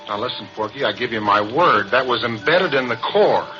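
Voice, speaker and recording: A young, skittish oil driller who stammers and hesitates, his pitch jumping about. His tone is bright and tense. It comes over a dull old radio broadcast with faint hiss. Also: expressive, faint music behind. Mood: urgent. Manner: nervous, halting. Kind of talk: 1940s radio drama acting.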